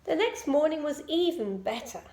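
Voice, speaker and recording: A woman reading a children's picture book aloud in an expressive storytelling style. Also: high voice, normal speed. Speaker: storybook reader. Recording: echoey room.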